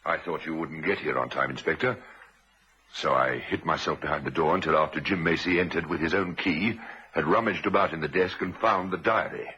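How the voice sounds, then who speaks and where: A man's voice: extremely low pitch, brisk, bright, forceful tone, husky, exaggerated voice. An actor playing a composed doctor in an old-time radio drama, a man explaining things in a matter-of-fact way. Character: composed male doctor calmly revealing the killer. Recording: muffled vintage radio recording.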